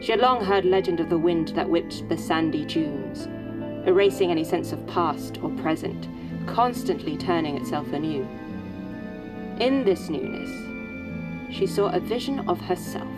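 An actress playing a solemn audiobook narrator of science fiction, deliberate, with dramatic pauses. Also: female voice, fairly low pitch, lightly acted. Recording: loud music behind the voice.

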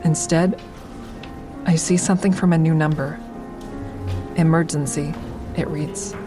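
A guarded woman in a fiction podcast, speaking low over prominent music. She turns inward as she narrates, wry and understated, and keeps the same careful tone in dialogue. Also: fairly even intonation, unhurried. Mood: uneasy, inward. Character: guarded woman, a stand-up comic and crisis-line volunteer, narrating. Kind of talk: first-person audio drama narration and dialogue.

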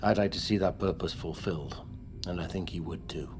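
An actor playing a wealthy, stubborn expedition leader in an audio drama, speaking formally with measured authority. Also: male voice, deep voice, natural voice. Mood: firm, insistent.

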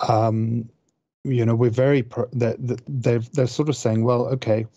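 A man from Zimbabwe talking as a podcast panelist, explaining things casually in a careful, halting way. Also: medium pitch, fairly even intonation, mellow, dry recording. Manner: measured, careful with wording, halting. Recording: dull, dry close recording.

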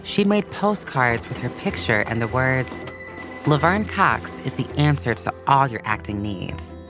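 A woman with a very deep voice narrates a scripted story for children. Music plays clearly behind her as she reads. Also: very animated, a little slow.

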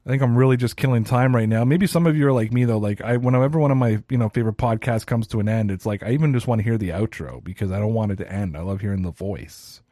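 A man's voice: on the low side, fast, few pauses, dark tone, no echo, studio quality. A man in his thirties hosting a solo sports podcast, talking casually and ranting with a self-deprecating streak. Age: 30s. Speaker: podcast host.

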